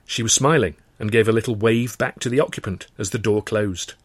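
A man reading a first-person novel as dramatic audiobook narration, expressive and often in short clipped phrases. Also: lowish voice, fairly quick, husky, dry recording. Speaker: author reading his own novel.

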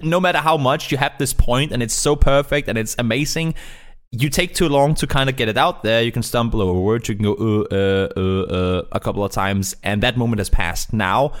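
A man who is not a native English speaker, talking casually and in a rambling, animated way as a guest caster in an interview. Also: medium pitch, fairly quick. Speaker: esports caster being interviewed.

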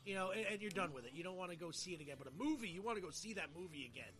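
A man's voice: high-pitched, lively intonation, rapid-fire, a bit bright. A man hosting a podcast in casual, colloquial, slangy chat. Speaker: podcast host.

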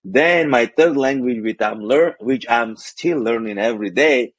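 A man's voice: medium pitch, unhurried, no echo. A man from Armenia with an Armenian accent, a guest on an interview podcast, explaining money tips carefully with some non-native phrasing. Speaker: guest, financial coach.

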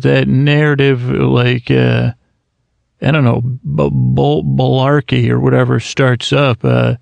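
A man hosting a sleep podcast, rambling in a meandering, soft-spoken bedtime monologue that keeps interrupting itself. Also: medium pitch, gravelly, dry recording.

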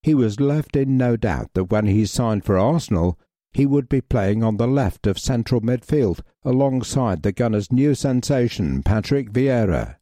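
A man reading a football history article aloud in a measured, even way. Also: medium pitch, no room sound, clean studio sound. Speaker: reader.

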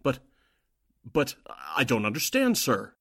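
Radio drama role: male actor as obedient, uneasy henchman, slightly raspy, lively pitch, close dry sound. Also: measured pace, dry recording, heavily acted. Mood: puzzled, nervous. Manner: obedient, earnest, hesitant. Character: deferential newspaper editor, secretly a Nazi agent, uneasy underling. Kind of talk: 1940s radio drama acting.

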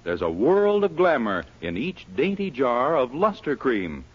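A man's voice: mid-range voice, wildly swooping pitch, a little slow, husky. An American man working as a radio announcer, reading scripted introductions and ads in a 1940s style. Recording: muffled 1940s radio broadcast, music behind.

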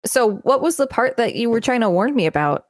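A woman's voice: fairly high pitch, sing-song, a little fast, no echo. A woman podcast co-host reading encyclopedia material aloud and chatting casually between passages.

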